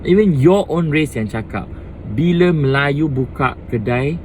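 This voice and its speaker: A man's voice: on the high side, animated, unhurried, a little mellow, slight background noise. Impassioned Malaysian man ranting outdoors. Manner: impassioned, switching between English and Malay. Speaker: vlogger. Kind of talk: casual opinion rant to camera.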